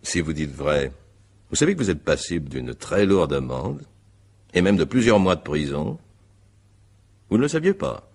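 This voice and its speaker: Stern magistrate, gravelly, animated intonation, formal interrogation, radio mystery drama. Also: male voice, sits low, normal speed, pauses often, heavily acted. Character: stern, methodical male examining magistrate.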